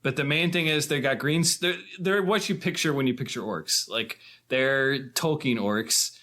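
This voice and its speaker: A man's voice: medium pitch, normal speed, bright, forceful tone. Male film podcast host. Informal, conversational, slangy, tends to ramble. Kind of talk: casual chat about films.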